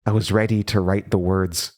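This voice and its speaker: A man playing a disturbed, haunted storyteller in a horror audio drama, speaking in a halting, theatrical way over music. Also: low voice, little pitch movement, a little mellow, natural voice. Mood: dreamy, unhinged. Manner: halting, theatrical, with nervous laughter and drops into whispers. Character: disturbed, haunted storyteller recounting a horrifying childhood. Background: prominent music.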